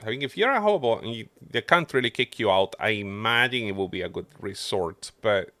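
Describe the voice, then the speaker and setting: A man's voice: lowish voice, expressive, fairly quick, pushed and bright, no echo. A man doing casual livestream game commentary, muttering his running thoughts to himself as he plays. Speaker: game streamer.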